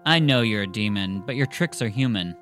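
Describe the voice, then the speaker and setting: A man's voice: fairly low pitch, lively intonation, brisk, fairly bright tone, soft background music. A man reading the part of a stern, devout young soldier in an earnest, solemn manner. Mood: curt, probing.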